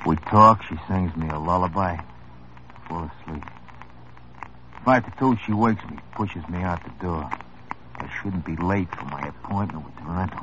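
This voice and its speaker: A deep-voiced wry hoodlum. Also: male voice, moderate pace, pauses often, sharp tone, slight background noise. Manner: tough, wry, world-weary. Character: sardonic small-time male hoodlum. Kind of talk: 1950s radio drama acting. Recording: muffled old radio broadcast.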